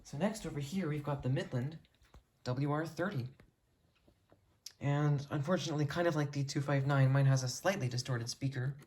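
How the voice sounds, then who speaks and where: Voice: mid-range voice, moderate pace, darkish tone. Enthusiastic hobbyist, noisy recording. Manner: earnest, rambling enthusiasm. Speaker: hobbyist video creator showing a radio collection. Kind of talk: casual enthusiast collection tour.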